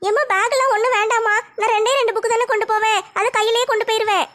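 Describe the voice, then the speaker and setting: A gentle teenage girl, voiced by a woman, pleads softly. Her voice is very high and slightly brighter than the performer's usual one. Her delivery is modest and coaxing. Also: fairly even intonation, rapid-fire. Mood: pleading. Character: teenage daughter, modest and loving.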